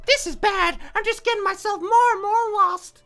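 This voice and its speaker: A man's voice: very high voice, moderate pace, pushed and bright, voice pushed up. A man voicing a whiny, dramatic anime schoolgirl in an exaggerated read-aloud of a visual novel. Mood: anxious. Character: squeaky, dramatic anime schoolgirl, a detective's daughter. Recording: music behind the voice.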